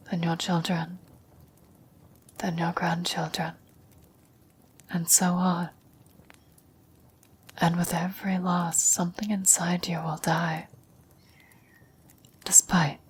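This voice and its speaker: A woman playing a dying former lover speaks in a breathy whisper, slow and solemn. Her delivery is low and very level, wistful and knowing, in the manner of an ASMR roleplay, with background noise around it. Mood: sorrowful.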